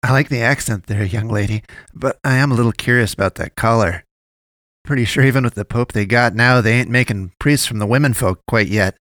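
A man's voice: medium pitch, brisk, fairly bright tone, dry recording, lightly acted. A man voicing a crude, sleazy drunk who corners a woman in an alley, talking in a drawling, cooing way. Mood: leering.

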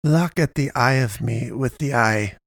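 A man reading a story aloud, voicing a cold, commanding woman who speaks in halting, broken phrasing. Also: very deep voice, creaky, no echo, clean studio sound, full character voice.

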